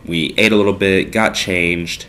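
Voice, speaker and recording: A man's voice: deep voice, fairly even intonation, a little slow, pushed and bright, clear, resonant tone. A young man co-hosting a casual podcast, congested with a stuffed-up nose, rambling with lots of fillers. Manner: rambling, filler-heavy, laid-back. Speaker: podcast co-host. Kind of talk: casual chat.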